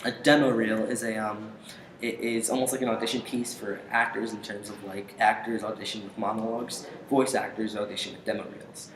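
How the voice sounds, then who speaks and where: Young adult male interviewee, slightly low pitch, halting casual delivery, faint background noise. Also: level tone. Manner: hesitant, halting, pausing often. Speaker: interview guest, aspiring voice actor. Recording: echoey room with faint noise.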